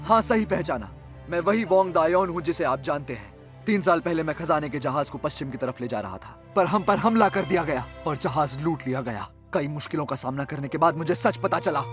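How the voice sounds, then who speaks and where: A man voices an upright, bold voyager in an animated adventure. His voice is pitched high. He speaks earnestly, in firm declarations. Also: fairly quick, over music, natural voice. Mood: assured. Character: bold, upright male voyager back to expose traitors. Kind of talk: Hindi cartoon dub acting.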